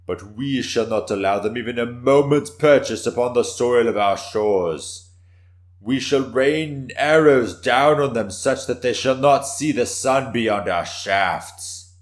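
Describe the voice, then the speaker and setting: A man's voice: mid-range voice, slow pace, pitched above the performer's own voice, lightly acted. A man voicing a boastful, fiery samurai warrior, declaiming grandly and powerfully in a dramatic story reading. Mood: fervent, valiant.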